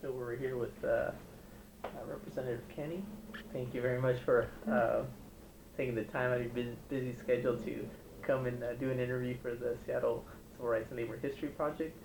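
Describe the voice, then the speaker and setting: A man conducting an oral history interview, his voice flat and gravelly. He asks questions haltingly, stumbling over fillers and restarts, recorded in an echoey room with clear background noise. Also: mid-range voice.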